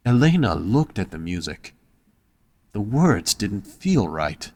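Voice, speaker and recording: A man's voice: on the low side, sing-song, takes it slowly, heavy background noise. A man reading scripted story narration as the narrator of an audio drama.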